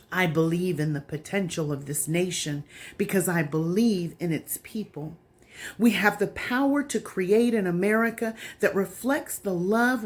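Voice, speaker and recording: A woman giving an earnest, deliberate reading of an open letter, appealing directly and with feeling to the listener. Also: medium pitch.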